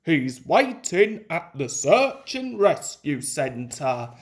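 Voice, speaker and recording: A woman voicing a stern, booming male railway boss in a children's storybook. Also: very animated, extremely slow, exaggerated voice. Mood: cross.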